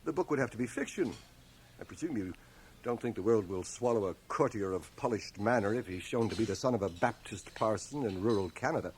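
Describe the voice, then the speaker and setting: An actor playing a dry, skeptical, shrewd middle-aged scholar in a radio drama, precise and probing. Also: male voice, lowish voice, expressive, fairly quick, a little mellow, lightly acted. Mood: hard bargaining. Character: dry, skeptical, shrewd fifty-year-old male scholar. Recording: hissy 1980 radio cassette transfer.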